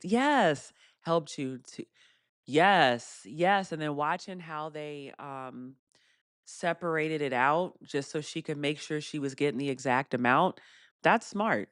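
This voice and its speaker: A woman with a fairly deep voice hosting a casual live stream Q&A. She laughs often and reads viewer comments aloud. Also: dry recording, studio quality. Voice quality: deeper voice for a woman.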